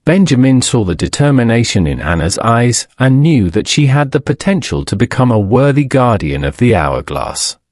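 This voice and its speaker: Man narrating bedtime stories, lively pitch movement. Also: normal speed, few pauses, dry recording, clean studio sound. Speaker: storyteller, narrator. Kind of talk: scripted bedtime story narration.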